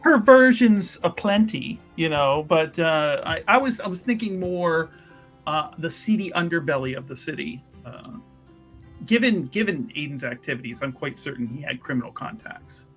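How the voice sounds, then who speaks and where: A man plays a courteous, pompous woman investigator in a fantasy tabletop game. The voice sits fairly high, with formal, wordy and hesitant phrasing that insinuates politely. Faint music plays underneath. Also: expressive, normal speed, a bit bright. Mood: probing, courteous. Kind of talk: tabletop roleplay in character.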